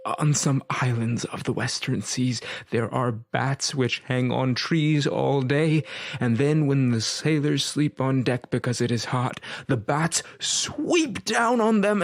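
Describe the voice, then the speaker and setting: An actor playing a learned older vampire hunter in a gothic audio drama, grave and insistent, with deliberate, emphatic, questioning speech. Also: male voice, a little high, dry recording.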